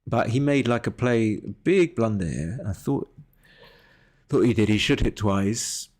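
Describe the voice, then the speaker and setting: Low-pitched man thinking aloud on a livestream. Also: lively intonation, a little slow, darkish tone. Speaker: livestreamer analysing his backgammon games. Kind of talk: casual live game commentary.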